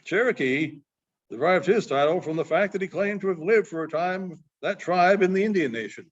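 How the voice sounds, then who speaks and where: A man reading a short story aloud, haltingly, stumbling over and rereading phrases. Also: high voice, lively intonation. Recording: dull, muffled sound.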